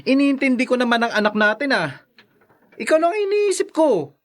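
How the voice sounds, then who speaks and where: A jobless young Filipino father in a radio drama. His voice swings widely in pitch, whiny and evasive as he makes excuses. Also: very animated, moderate pace. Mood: reluctant. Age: young adult.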